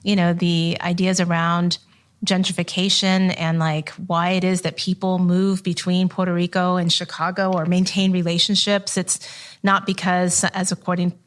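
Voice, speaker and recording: A woman's voice: lowish voice, little pitch movement, normal speed. A woman ethnographer speaking thoughtfully at a live roundtable, peppering her points with fillers. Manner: thoughtful, many "you know" fillers. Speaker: ethnographer and scholar panelist. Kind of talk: roundtable discussion.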